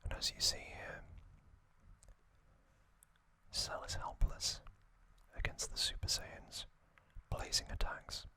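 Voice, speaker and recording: A man narrates in a crisp, bright whisper for ASMR. He moves very slowly and deliberately, breaking his scripted story into short hushed phrases. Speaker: ASMR host.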